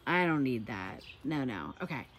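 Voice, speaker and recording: A woman's voice: very deep voice, lively intonation, normal speed. A woman in her forties with a stuffy, nasal voice, chatting enthusiastically in a casual garden vlog, filmed outdoors on a handheld phone. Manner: chatty and enthusiastic, laughs easily. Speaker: garden vlogger. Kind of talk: casual walk-and-talk vlog. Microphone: handheld phone camera.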